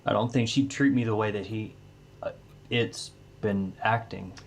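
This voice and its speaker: An actor playing a folksy, plainspoken country widower, a weary haunted homeowner, in an audio drama. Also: male voice, lowish voice, steady tone, a little slow. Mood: guarded. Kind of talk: audio drama character dialogue.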